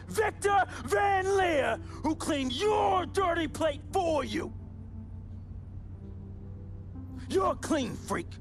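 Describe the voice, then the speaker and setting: An actor playing a volatile, resentful young New hustler in a dramatic video game cutscene, talking streetwise slang and taunting. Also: male voice, extremely high pitch, gravelly, full character voice. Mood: furious. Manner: streetwise slang, taunting, swinging from chummy to explosive. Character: volatile, resentful, fast-talking young hustler, best friend of a basketball star. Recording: loud music behind the voice.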